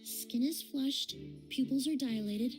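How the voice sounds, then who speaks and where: A woman's voice: high voice, music in the background. A woman voicing a composed, gracious young perfumer in a video game, speaking politely and precisely. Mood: urgent, clinical. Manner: polite, measured.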